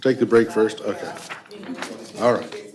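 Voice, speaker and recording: Low-voiced man chairing a meeting. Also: measured pace, a crowd in the background. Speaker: board chair. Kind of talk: running a public meeting.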